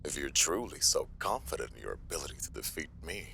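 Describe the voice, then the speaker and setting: A man playing a menacing, gloating mobster villain in an audio roleplay, with slow, theatrical taunts and mocking politeness. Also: a bass voice, big pitch swings, gravelly, pushed and bright, a little hiss or hum, heavily acted. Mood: smug challenge.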